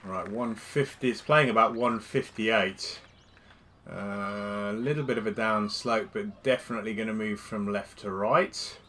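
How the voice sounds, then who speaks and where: A male gaming streamer talks through his round in a casual, friendly ramble. His voice sits a little low and sounds congested from a cold. Faint noise hums underneath. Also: unhurried. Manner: chatty, relaxed. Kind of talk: casual live-stream commentary.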